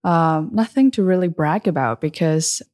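A woman's voice: low-pitched, moderate pace, a little mellow. A woman from southern China with a Chinese accent, chatting casually and candidly as an interview guest. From Shenzhen, southern China. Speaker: interview guest, freelance wine journalist.

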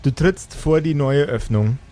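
Guy running a pen and paper game, slightly high voice, bit of noise behind him, feels his way through descriptions. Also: measured pace, dark tone. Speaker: game master. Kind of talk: improvised tabletop game narration.

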